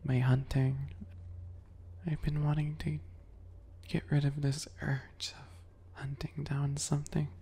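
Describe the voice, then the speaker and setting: A man voicing a sweet-spoken, obsessive male bunny predator in an ASMR roleplay, soft, breathy and teasing, often whispering. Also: a little high, monotone, heavily acted. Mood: teasing menace. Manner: slow, teasing, giggly, menacing.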